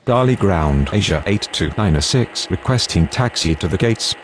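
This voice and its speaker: A man's voice: low-pitched, animated, moderate pace, a little hiss or hum. A man voicing a formal, businesslike airline pilot or controller, using scripted radio phraseology in a game.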